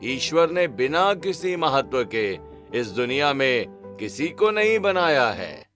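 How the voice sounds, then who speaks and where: Slow solemn holy preacher done by a man. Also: medium pitch, very animated, a bit bright, soft background music. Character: grave, wise male holy preacher in a cartoon.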